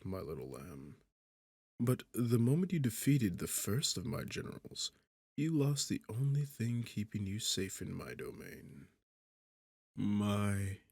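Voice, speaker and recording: Man acting a low, slow, smug demon king. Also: creaky, a little mellow, slightly rough, no echo, full character voice. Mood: amused, menacing. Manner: smug, slow, teasing, condescending. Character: arrogant, sarcastic male demon king, taunting and seductive. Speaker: audio roleplay performer. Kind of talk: ASMR fantasy roleplay acting.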